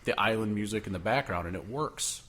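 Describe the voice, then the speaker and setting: A man's voice: fairly low pitch, brisk, fairly bright tone. A young man co-hosting a casual podcast, talking loosely and padding his points with many "like" fillers. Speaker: podcast co-host. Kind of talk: casual chat.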